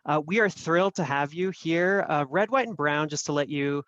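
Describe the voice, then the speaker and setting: A man's voice: on the high side, normal speed, fairly bright tone, dry recording, muffled recording. A man co-hosting an online event in a casual, filler-heavy way over a video call. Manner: hesitant, filler-heavy delivery. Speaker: event co-host. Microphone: video call microphone.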